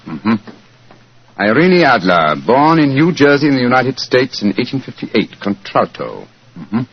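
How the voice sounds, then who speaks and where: A shrewd, self-assured gentleman detective in a vintage radio play. He is urbane and drily polite, with a husky voice that moves animatedly up and down, on a dull, worn old recording. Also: medium pitch. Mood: brisk, absorbed. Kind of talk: 1940s radio acting.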